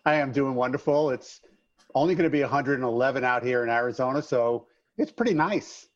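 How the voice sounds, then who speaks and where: A man's voice: medium pitch, brisk, highs cut off. Upbeat man with a slight New York accent. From Long Island, New York. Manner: upbeat, folksy, addresses host by name. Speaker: guest, trades business owner. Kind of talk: casual interview chat.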